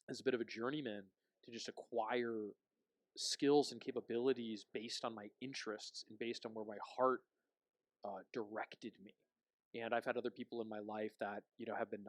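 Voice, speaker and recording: A man's voice: mid-range voice, dry recording. Reflective man guesting on a podcast. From Connecticut, New England. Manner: reflective, thoughtful, uses many fillers. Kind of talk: casual podcast chat.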